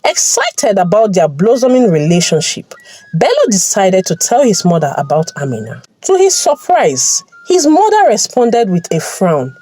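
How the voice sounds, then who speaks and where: A woman's voice: mid-range voice, wildly swooping pitch, normal speed, dark tone, poor audio. A woman storyteller reading a scripted folktale narration.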